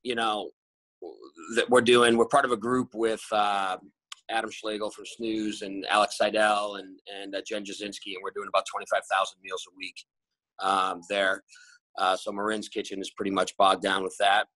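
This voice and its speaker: A man's voice: on the low side, flat delivery, moderate pace, bright, forceful tone, no room sound, rough recording. A man in his forties who owns a restaurant group, answering interview questions on a video call in a rambling way with many fillers and restarts. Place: bright room at home. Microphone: laptop camera on a video call.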